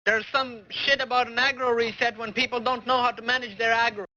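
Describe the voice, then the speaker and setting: A man with an extremely high, piercingly bright voice barks orders as a game raid leader. He is angry, profane and repetitive, heard through dull lo-fi voice chat. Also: level tone, fairly quick. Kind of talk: barking orders during a game.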